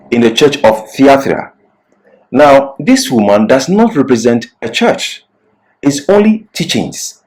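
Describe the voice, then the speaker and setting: A man giving an expository Bible sermon and reading scripture in an emphatic, deliberate, didactic manner. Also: mid-range voice, darkish tone, rough recording. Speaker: Bible teacher.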